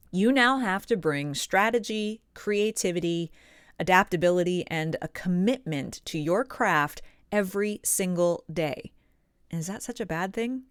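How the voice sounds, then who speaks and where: A woman with a slightly low, clean and resonant voice speaks as a coach hosting an advice talk. She is encouraging and puts firm emphasis on her points. The sound is close and very dry. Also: clear, resonant tone, no echo. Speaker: voice-over coach and channel host. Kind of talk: instructional advice talk to viewers.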